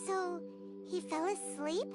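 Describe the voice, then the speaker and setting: A woman voicing a small, excitable, childlike fairy companion in a video game, squeaky and chirpy. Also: slow pace. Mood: curious. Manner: speaks of self in third person, exclaims. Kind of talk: cartoonish video game acting. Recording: loud background music.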